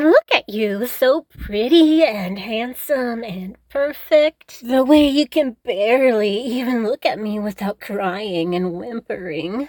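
A woman playing a doting, teasing, dominant girlfriend in an intimate roleplay audio, cooing and coaxing, sometimes slipping into soft whispers. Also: fairly high pitch, no room sound. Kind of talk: intimate scripted roleplay audio addressed to the listener.

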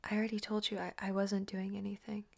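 A woman playing a coaxing, evasive partner in an intimate audio roleplay, speaking in a breathy whisper. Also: mid-range voice, little pitch movement, fast talker, a little mellow. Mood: defensive, coaxing. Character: coaxing, evasive female partner soothing someone at night.

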